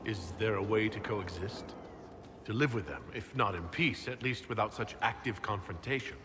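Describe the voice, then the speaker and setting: An actor playing an earnest, inquisitive fantasy game hero who asks direct questions. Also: male voice, lowish voice, moderate pace, big-room sound, background noise, lightly acted. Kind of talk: scripted fantasy game dialogue.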